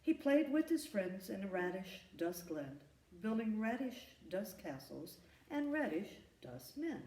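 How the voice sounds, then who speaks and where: A woman reading a rhyming children's story aloud in an expressive, emphatic storytelling manner. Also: medium pitch, unhurried. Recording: echoey room, distant from the mic.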